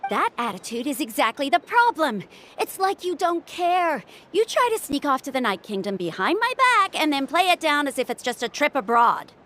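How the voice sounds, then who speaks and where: An actress playing a fussy, exasperated younger sister and dutiful young doctor in a video game, scolding and emphatic. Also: female voice, sits high, very animated, normal speed, sharp tone, faint music behind, heavily acted.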